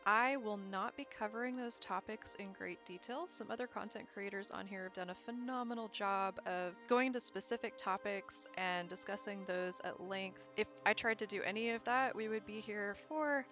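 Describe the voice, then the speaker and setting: A woman's voice: mid-range voice, moderate pace, hardly any pauses, fairly bright tone. Woman giving chatty commentary over music. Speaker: gaming lore video creator. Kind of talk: informal scripted video commentary with asides. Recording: music behind the voice. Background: background music.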